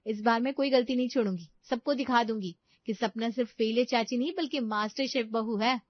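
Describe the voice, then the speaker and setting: A woman's voice: fairly high pitch, brisk, no echo, highs cut off, pitched above the performer's own voice, lightly acted. A woman voicing an earnest, determined young daughter-in-law in a story, speaking in an eager, emphatic way. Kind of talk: animated story dialogue.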